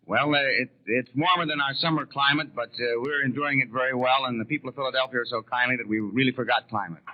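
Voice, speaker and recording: A man answers questions on a slightly muffled 1930s radio broadcast. His voice is of average pitch. He speaks as a polite, measured delegate, hesitating as he begins. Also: steady tone. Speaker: convention delegate being interviewed.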